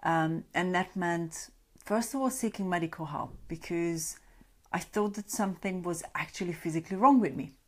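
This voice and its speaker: A woman's voice: on the low side, moderate pace. A woman from Hungary, a sleep coach giving a chatty live-stream talk with many fillers and greeting viewers by name. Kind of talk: Facebook Live talk to a group. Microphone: webcam or phone on a live stream.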